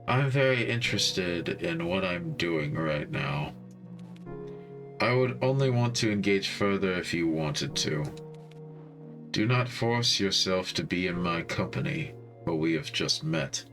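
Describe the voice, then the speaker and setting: Man playing a low, formal, reclusive hacker. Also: lively intonation, a little slow, over music. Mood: reserved, matter-of-fact. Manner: terse, stiff, formal phrasing. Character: reclusive, formal cyberpunk hacker who dislikes company.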